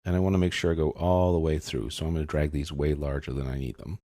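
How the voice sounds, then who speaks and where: A man narrating a software tutorial step by step, explaining in a casual, informal manner. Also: rumbling low, flat delivery, quick pace, no room sound, clean studio sound.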